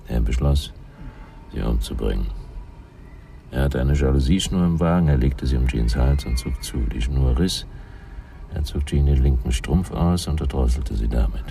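An actor playing a grim, hard-boiled older homicide detective in a radio drama, speaking in blunt, clipped, matter-of-fact sentences. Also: male voice, very deep voice, moderate pace, gravelly, mellow, a little hiss or hum. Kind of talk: radio drama monologue, crime reconstruction.

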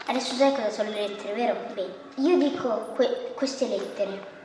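A young-sounding female in a radio play, speaking in simple, childlike, halting and repetitive phrases. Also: a little high, noisy background. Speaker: performer in a live radio play. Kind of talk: simple childlike dialogue and teaching. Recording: very reverberant hall, faint music.

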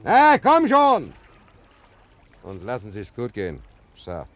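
An actor playing a footloose, weary ship's stoker in a German radio play, talking in a laconic, colloquial way. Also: male voice, medium pitch, wildly swooping pitch, slow pace, fairly bright tone, heavily acted. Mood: calling out. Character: footloose male sailor, a weary stoker seeking work.

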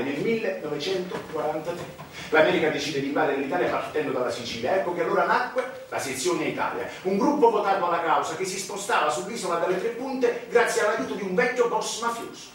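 A man acting a sardonic theatrical storyteller, declaiming a stage monologue with dramatic pauses. His voice sits on the high side. It rings through a very echoey space, far from the mic, with clear noise behind it. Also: animated. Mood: declamatory. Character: sardonic male storyteller recounting a dark financial scandal. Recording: echoey hall, distant mic, noisy.

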